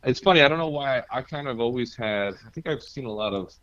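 A deep-voiced man from New Jersey, a guest musician chatting casually and telling stories with easy laughs. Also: expressive, fast talker, fairly bright tone, no echo, highs cut off. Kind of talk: casual interview chat.

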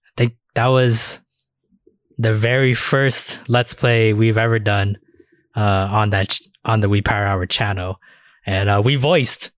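A man's voice: lowish voice, steady tone, unhurried, no room sound, clean studio sound. A man hosting a podcast in a casual, chatty style. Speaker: podcast host. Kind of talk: casual chat.

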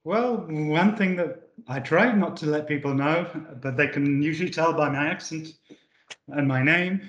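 A man's voice: fairly high pitch, unhurried, fairly bright tone, highs cut off, a little hiss or hum. Hesitant man in an echoey room. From United Kingdom. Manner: hesitant, many fillers and restarts. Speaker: managing director guest. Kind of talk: corporate podcast interview.

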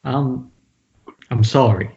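A man's voice: medium pitch, slow, gravelly. A man playing a stubborn yet sheepish film director in an amateur table read over an internet call. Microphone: internet video call. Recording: dull, noisy internet call, clicks.

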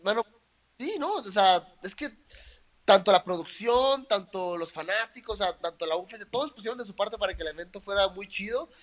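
A Mexican man with a Mexican accent, a fighter talking as an interview guest in a relaxed, chatty way, full of filler words. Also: high voice, a little fast. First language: Spanish. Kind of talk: casual interview chat.

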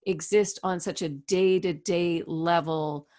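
A woman's voice: lowish voice, fairly even intonation, slow pace, a little mellow. A woman hosting a podcast interview, speaking thoughtfully and hesitantly, restarting her phrases. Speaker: podcast host. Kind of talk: conversational interview.

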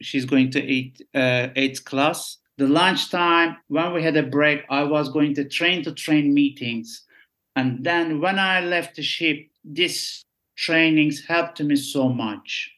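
A man's voice: a little high, slow, a bit bright. A middle-aged Turkish man talks earnestly in broken English about his years working on cruise ships. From Turkey. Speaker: guest, former cruise ship staff. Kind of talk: casual interview chat.

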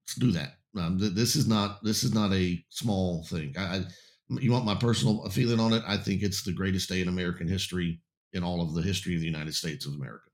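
A man hosting a casual talk show, losing his voice and getting raspy, speaking in a halting way full of fillers and restarts, blunt and emphatic. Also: low-pitched. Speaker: host.

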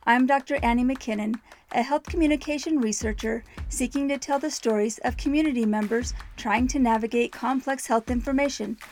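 A woman hosting a podcast, reading a clear, measured introduction and welcoming her guest. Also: a little high, a little mellow, soft background music. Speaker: podcast host and researcher.

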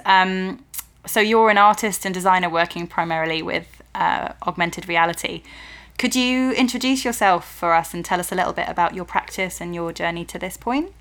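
Low-pitched woman hosting a podcast. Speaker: podcast host. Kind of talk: scripted podcast introduction and interview questions. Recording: music playing behind the voice.

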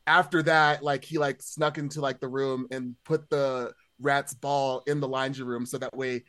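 A man chatting casually as a podcast panelist about a film, amused and halting, peppering his sentences with "like". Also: mid-range voice, flat delivery, fairly bright tone, dry recording. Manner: halting, amused, heavy use of "like". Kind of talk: casual chat.

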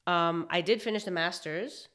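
A young woman from Hong Kong, a chef, talking reflectively in a casual interview with many pauses and fillers. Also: fairly low pitch, a bit bright. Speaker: guest, chef.

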